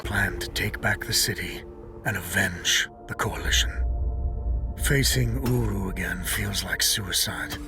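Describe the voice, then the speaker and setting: An actor playing a reflective male soldier, narrating quietly and broodingly in a video game. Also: low voice, unhurried, far from the mic, over music.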